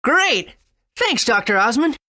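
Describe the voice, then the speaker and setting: A woman's voice: fairly high pitch, very animated, slow, bright, forceful tone, full character voice. A woman voicing an eager, good-natured young boy hero in a video game, earnest and agreeable.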